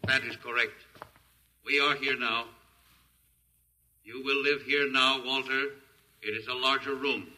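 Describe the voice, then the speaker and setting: Emotionless alien being, stilted literal speech, intensely bright edgy timbre, 1950s radio drama. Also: male voice, medium pitch, steady tone, unhurried, pauses often, rough recording. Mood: formal, unemotional. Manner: stilted, literal, clipped formal phrasing. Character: logical alien zookeeper, emotionless, formal male being. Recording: vintage 1950s radio broadcast.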